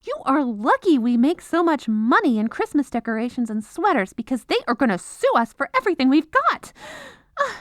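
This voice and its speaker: A woman's voice: high-pitched, sing-song, fairly quick, no room sound, studio quality. A woman playing an exasperated, businesslike head lawyer at the North Pole in a scripted comedic audio roleplay. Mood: exasperated, agitated. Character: exasperated, dutiful head legal advisor at Santa's North Pole.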